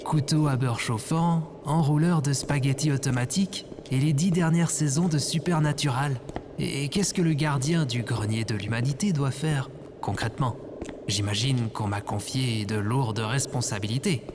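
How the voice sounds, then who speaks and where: An actor playing a lazy, grumbling slacker man in his thirties, casual, slangy and deadpan, in an acted audio comedy. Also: mid-range voice, normal speed, music in the background, natural voice. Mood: deadpan disbelief.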